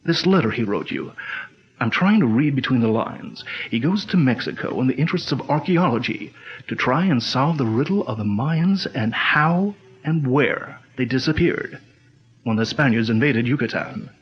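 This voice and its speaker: An actor playing a calm, commanding male crime-fighter chief in a 1940s-style radio drama, speaking in a measured, steady way. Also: mid-range voice, close to an everyday voice. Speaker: lead actor. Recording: dull lo-fi old radio recording.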